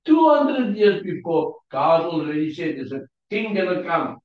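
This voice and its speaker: A man's voice: a little high, expressive. Afrikaans-accented man preaching in an echoey hall. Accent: South African Afrikaans. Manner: halting, searching for English words with many fillers. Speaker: preacher teaching a Bible class. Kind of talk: Bible class teaching. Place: church hall. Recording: distant, roomy, dull lo-fi sound.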